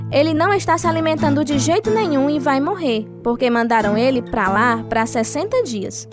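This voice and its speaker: Brave prisoner's wife, high voice, earnest, devoted, Brazilian accent, radio drama, guitar underneath. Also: female voice. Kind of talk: dramatized radio testimony narration.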